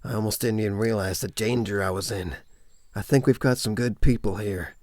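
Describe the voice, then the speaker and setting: A man's voice: fairly low pitch, fairly quick, a little mellow, no echo, studio quality, natural voice. A man playing a level-headed cop surviving a zombie apocalypse in a comic dub drama. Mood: shaken, reflective.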